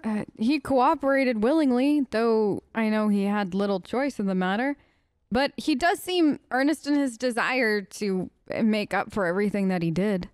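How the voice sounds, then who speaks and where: A woman's voice: on the high side, normal speed, clear, resonant tone, dry recording, clean studio sound, soft background music. A woman gamer improvising casual role-play in an informal, tentative way, as if thinking aloud. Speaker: gamer role-playing her character. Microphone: close microphone, voice-over on gameplay.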